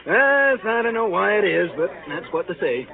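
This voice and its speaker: High-pitched, swooping young braggart. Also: male voice, very animated, moderate pace, vocal fry, phone-quality sound, heavily acted. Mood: boastful, self-satisfied. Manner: bragging, folksy, self-satisfied. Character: boastful, gluttonous big young man showing off. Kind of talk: 1930s radio comedy acting. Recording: thin, band-limited 1930s radio recording, crowd.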